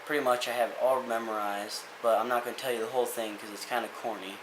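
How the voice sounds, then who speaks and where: A man's voice: medium pitch, steady tone, quick pace. A young man giving a casual, rambling motivational rant as a vlogger, earnest and full of restarts. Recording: echoey room with hiss.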